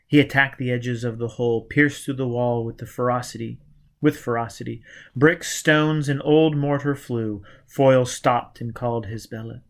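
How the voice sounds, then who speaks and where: A man reading a novel aloud as dramatic scripted audiobook narration. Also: medium pitch. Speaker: audiobook reader.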